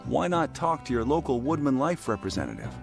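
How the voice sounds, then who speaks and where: A smooth, reassuring man reads a scripted commercial. His pitch moves with lively swings, and clear music plays under him. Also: a little fast. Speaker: ad announcer.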